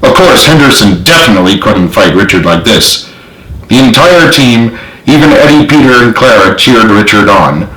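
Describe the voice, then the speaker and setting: A man's voice: lowish voice, animated, moderate pace, sharp tone. A man reading his own novel aloud as author, in dramatic storybook narration. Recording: roomy, echoey home recording.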